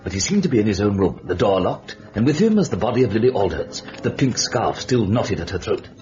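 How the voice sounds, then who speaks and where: An actor playing a sinister, gloating devil-like storyteller, narrating a radio horror drama theatrically. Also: male voice, on the low side, big pitch swings, a little fast, a little mellow. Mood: sinister, amused. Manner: sardonic, gloating. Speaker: narrator of the show. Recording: muffled old radio recording with music.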